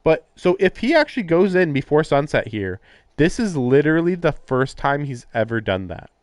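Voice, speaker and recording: A man's voice: fairly high pitch, very animated, normal speed, no room sound. A man livestreaming a visual novel, casually reading narration aloud and adding sardonic, incredulous asides. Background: game music.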